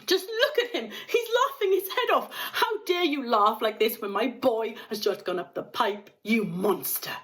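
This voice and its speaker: A woman's voice: a little high, expressive, unhurried, hardly any pauses, a bit bright, voice pushed up. A woman voicing a frantic, bossy, outraged mother in a storybook, scolding and exclaiming shrilly.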